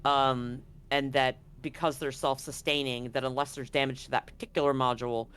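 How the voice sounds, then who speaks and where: A game master talks players through a tabletop roleplaying scene. The voice sits at a middle pitch and explains details conversationally. There is faint noise behind the voice. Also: little pitch movement, moderate pace, fairly bright tone.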